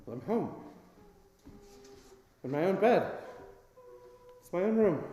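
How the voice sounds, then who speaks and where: A man plays an old miser turned giddy and generous, in a very high, swooping voice. He exclaims and repeats himself theatrically, as in a radio play, inside a reverberant hall with music underneath. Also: sing-song, slow, warm tone, exaggerated voice. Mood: giddy wonder. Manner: exclamatory, repeats words breathlessly, chuckling. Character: old male miser reformed into giddy, tearful, generous joy.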